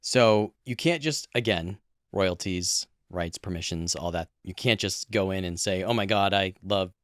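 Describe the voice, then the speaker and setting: Low-pitched man chatting on a podcast. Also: unhurried, fairly bright tone, dry recording, studio quality. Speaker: podcast co-host and audio editor. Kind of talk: casual explanatory chat.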